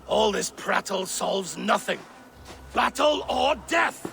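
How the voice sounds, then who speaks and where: An actor playing a brash, blustering thunder god warrior in a video game, with a gravelly voice. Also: male voice, sits high, animated, takes it slowly, a bit bright, a little hiss or hum, exaggerated voice. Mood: scornful, impatient. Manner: blustering, combative. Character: brash, hot-headed male Norse thunder god warrior. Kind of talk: dramatic video game acting.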